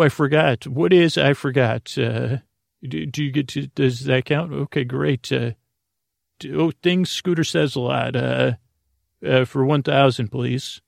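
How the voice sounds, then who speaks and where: A man hosting a bedtime podcast in a rambling, halting, mumbling way, often interrupting himself as he improvises. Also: mid-range voice, dry recording, clean studio sound. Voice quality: creaky. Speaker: podcast host.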